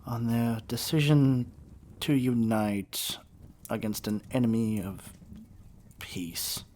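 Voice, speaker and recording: A man playing a haughty, menacing evil king in an ASMR roleplay, speaking in a pompous, deliberate way full of disdain. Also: medium pitch, fairly even intonation, darkish tone, a little hiss or hum, natural voice. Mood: grudging, irritated. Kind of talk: theatrical ASMR roleplay monologue.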